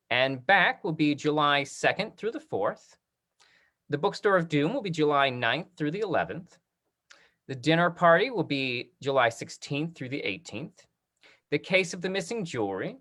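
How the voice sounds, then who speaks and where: A host of a casual online talkback, speaking in a halting, conversational way like a drama teacher. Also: mid-range voice, a bit bright.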